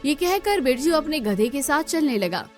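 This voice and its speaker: A woman's voice: high voice, big pitch swings, moderate pace, a little mellow, faint music behind. A woman reading scripted narration for a cartoon moral story.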